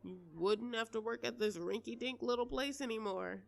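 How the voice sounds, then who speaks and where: A woman playing a polite, flustered pop star who wants privacy, speaking haltingly and conversationally in an intimate audio roleplay. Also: fairly high pitch, vocal fry. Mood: earnest, persuasive.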